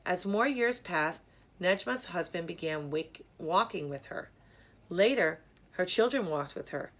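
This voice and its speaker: A woman reading a children's story aloud in a measured, expressive storytelling manner. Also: lowish voice. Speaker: storybook reader. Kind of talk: scripted narration, children's story read aloud.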